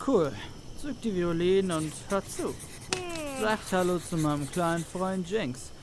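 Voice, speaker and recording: Slangy oddball young drifter played by a man, blunt, dramatic game character, night insects clearly audible. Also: high-pitched, a little slow, background noise. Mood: frank storytelling. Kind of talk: German fan dub of a video game. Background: crickets and night ambience.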